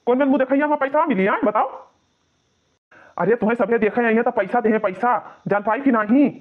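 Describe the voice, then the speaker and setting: A man's voice: very high-pitched, brisk. A man voicing an exasperated young village husband in a cartoon comedy, nagging and grumbling with an Awadhi accent on muffled lo-fi audio. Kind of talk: exaggerated cartoon comedy bickering.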